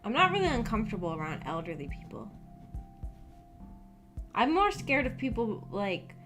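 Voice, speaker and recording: A woman's voice: on the high side, sing-song, normal speed, a bit bright. Casting: young woman, livestreamer, hesitant and shy, meandering casual chat, music playing underneath. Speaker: streamer. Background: background music.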